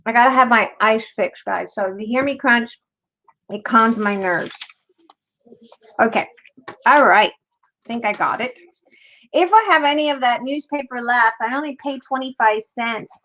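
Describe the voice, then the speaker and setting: A woman's voice: on the high side, moderate pace, long pauses, pushed and bright. Chatty bilingual woman on a craft stream. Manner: chatty, bilingual, slips into Spanish phrases. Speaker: craft tutorial host on a live stream. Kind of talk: casual live-stream chat while crafting. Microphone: desk mic over an overhead craft camera. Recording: slightly dull audio with faint hiss.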